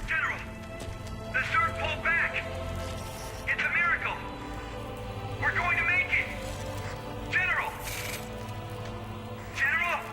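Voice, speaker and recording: A man playing an anxious army lieutenant in a video game, urgent and high-strung. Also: slow pace, pauses often. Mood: astonished relief. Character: anxious young male army lieutenant under siege. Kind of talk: dramatic video game acting. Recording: echoing, under loud music.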